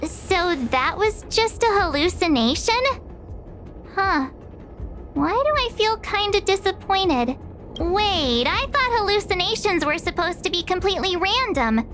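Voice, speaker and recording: A woman voicing a candid, expressive teenage girl in a game dub, with a bubbly, casual and dramatic manner. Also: very high voice, a little slow, faint music behind, exaggerated voice. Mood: puzzled. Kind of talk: English game dub acting.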